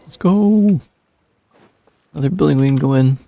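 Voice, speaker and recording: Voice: mid-range voice, normal speed, creaky, warm tone, dull audio. A streamer giving casual, joking gameplay commentary in a laid-back way. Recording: close dry mic with game music.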